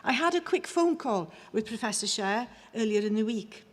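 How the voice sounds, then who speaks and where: A woman's voice: a little high, lively intonation, normal speed. A woman with a Welsh accent giving a formal, stately ceremonial speech that presents an honorary graduate, moving between English and Welsh. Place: large ceremony hall. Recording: echoey large hall.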